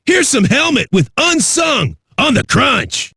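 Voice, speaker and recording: A foul-mouthed, hyped-up rock radio DJ for a video game. His voice is raspy and very high for a man, with a forceful edge. He swaggers through every line like an aggressive party host, in a close, dry sound. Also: sing-song, slow pace, no echo, exaggerated voice. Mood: rowdy excitement. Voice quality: raspy, gravelly. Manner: aggressive, rowdy, swaggering delivery.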